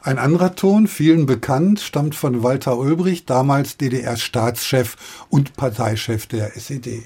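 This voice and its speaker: A man's voice: medium pitch, unhurried, darkish tone, slightly rough. A man hosting a radio history podcast, moderating and asking questions conversationally. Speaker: podcast host.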